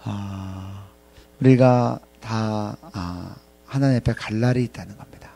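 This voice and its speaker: A man in his fifties preaching a reflective sermon to ministry staff in a halting way, pausing often and repeating phrases. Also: fairly low pitch, creaky, dark tone, faint music behind. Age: 50s. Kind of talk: reflective sermon with scripture readings.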